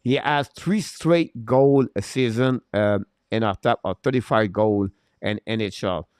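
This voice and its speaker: Man, lowish smooth voice, dry close sound, opinionated live sports commentary. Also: a little slow, darkish tone, dry recording. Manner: opinionated, emphatic, repeats points. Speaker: hockey live-stream host. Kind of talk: unscripted sports commentary, answering live chat.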